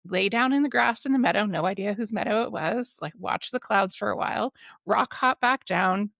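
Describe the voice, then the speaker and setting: A woman in her forties from Canada, hosting a podcast with enthusiastic, animated storytelling. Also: medium pitch, normal speed, gravelly, dry recording, clean studio sound. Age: 40s. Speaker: podcast host. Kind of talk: conversational interview.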